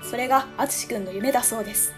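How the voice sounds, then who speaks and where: A woman's voice: on the high side, fast, music in the background, lightly acted. A woman playing a polite ward office clerk. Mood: earnest. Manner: polite, earnest, explaining.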